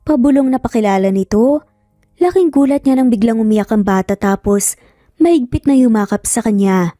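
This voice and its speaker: A woman's voice: fairly high pitch, moderate pace, dark tone, dry recording, studio quality, soft background music. A woman with a Filipino accent narrating a scripted story in a dramatic style.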